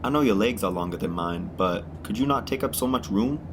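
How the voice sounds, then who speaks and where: A man's voice: fairly low pitch, lively intonation, fairly quick, background noise, close to an everyday voice. A man playing a naive, eager, good-hearted dreamer in a radio drama, speaking in an earnest and polite way. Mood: polite, hopeful.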